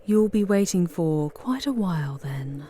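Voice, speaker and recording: Woman voicing a seductive demoness, low breathy murmur, wry, unhurried, ASMR roleplay, noisy ambience behind. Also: lively intonation, warm tone. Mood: wry, commanding. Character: charming, sardonic, seductive female demon guide to hell. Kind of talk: ASMR audio roleplay acting.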